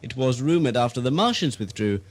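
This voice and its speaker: A man's voice: medium pitch, animated, brisk, natural voice. A man playing a pompous, obsequious asylum keeper in an audio drama, florid and theatrical in his speech. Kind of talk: radio drama acting.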